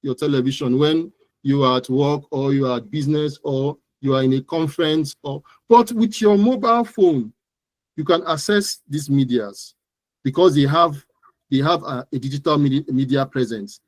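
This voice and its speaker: Man, Nigerian accent, higher-pitched voice, very dry sound, interview guest, explanatory, emphatic, repeats key words. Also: moderate pace, no room sound. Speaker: guest digital marketing expert.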